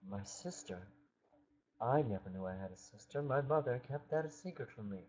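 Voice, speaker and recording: A man's voice: medium pitch, lively intonation, moderate pace, a little mellow, lo-fi sound, close to an everyday voice. A man voicing a lazy young king in a fairytale, naive and plain-spoken. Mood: surprised.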